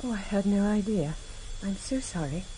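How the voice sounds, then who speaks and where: A woman's voice: mid-range voice, expressive, normal speed, close to an everyday voice. A woman playing a determined, emotional wife in a radio drama, well-spoken and direct. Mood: polite, reserved. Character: anguished, determined wife of a vanished politician. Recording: hissy vintage radio drama tape.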